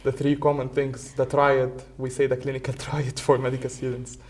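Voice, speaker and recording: A man's voice: mid-range voice, monotone, normal speed, darkish tone. A young man whose first language is Arabic, talking casually as a podcast guest and hesitating often with fillers. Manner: hesitant, many filler uhs. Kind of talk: casual conversation. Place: podcast studio.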